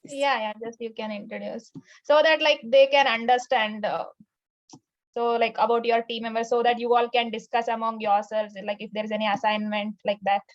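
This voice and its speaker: Casual high-voiced woman instructor. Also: flat delivery, highs cut off. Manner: casual, quick to agree, repeats "okay". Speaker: course instructor. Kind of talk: leading class introductions.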